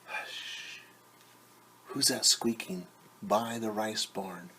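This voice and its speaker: A man reading a picture book aloud to young children as a teacher, with sing-song refrains and hushed, whispered asides. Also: fairly low pitch, steady tone, drawn-out words, slight background noise, poor audio.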